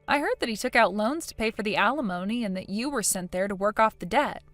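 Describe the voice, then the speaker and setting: A woman's voice: medium pitch, expressive, quick pace, a bit bright, no room sound, faint music behind, natural voice. A woman voicing a blunt, judgmental, scornful woman in story dialogue, smug and needling in a matter-of-fact way.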